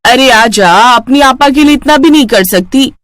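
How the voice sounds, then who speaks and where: Woman acting a haughty, high-voiced bossy rich sister. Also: normal speed, a bit bright, no echo. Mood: demanding. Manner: boastful, ordering, dismissive.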